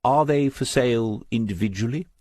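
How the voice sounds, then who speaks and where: A man playing a retired male detective in an audiobook, polite, mild and quietly inquisitive. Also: mid-range voice, normal speed, dry recording, studio quality, voice pushed up, natural voice. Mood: politely curious. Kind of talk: audiobook character dialogue.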